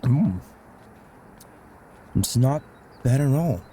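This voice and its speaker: A man's voice: medium pitch, animated, extremely slow, dark tone, slight background noise. A man playing an easygoing, self-deprecating middle-aged father in a comic dub, with a casual, reflective way of talking. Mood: relaxed. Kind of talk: amateur comic dub acting.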